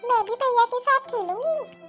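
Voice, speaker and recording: A woman voicing a tiny, whiny younger sister in an animated family drama. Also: very high-pitched, normal speed, faint music behind. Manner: whiny, tattling.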